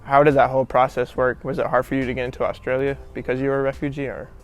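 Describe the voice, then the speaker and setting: A man's voice: mid-range voice, fairly even intonation, fast talker. A young man in his twenties hosting a casual interview, introducing his guest and asking questions. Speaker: interviewer and host. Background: background music.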